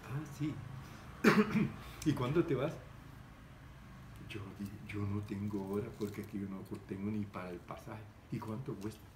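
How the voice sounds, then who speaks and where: A man's voice: fairly low pitch, a little slow. An older man, telling a story in casual conversation and animatedly acting out the people in it. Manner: animated, gestures a lot, voices the people in his story. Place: room with framed pictures, seated at a table indoors. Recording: echoey room with background noise.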